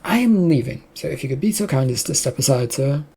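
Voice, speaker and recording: A narrator voicing a defiant, dryly polite male employee who has been fired, in a husky storybook reading. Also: medium pitch, lively intonation, a little fast, mellow. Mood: politely insistent.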